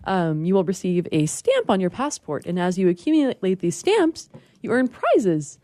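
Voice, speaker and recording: A woman on a radio panel giving information conversationally, in a measured and somewhat hesitant way. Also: mid-range voice, big pitch swings, dark tone, no echo. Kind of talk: informative casual chat.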